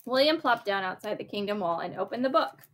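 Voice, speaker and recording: A woman in her forties reading a children's picture book aloud, pausing to explain it simply to a small child. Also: medium pitch, animated, fairly bright tone. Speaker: storybook reader to a small child. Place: bright sunroom at home, seated on wicker sofa. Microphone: camera a few feet away.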